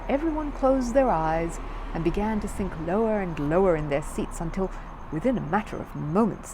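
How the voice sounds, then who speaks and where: Animated woman storyteller, low-pitched, faint background noise. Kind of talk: scripted children's audiobook narration.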